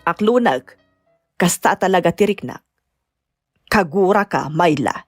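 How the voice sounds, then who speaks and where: A woman's voice: fairly low pitch, animated, unhurried, faint music behind. A woman acting a blunt, exasperated older mother and grandmother in an Ilocano-accented radio-style drama, nagging and emphatic. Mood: angry reproach. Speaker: voice actress.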